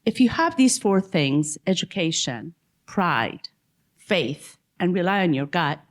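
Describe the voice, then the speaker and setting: A woman from Iran tells a personal story as a panelist. Her voice sits a little low, and she speaks in a measured, emphatic way, stretching key words slowly. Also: very animated.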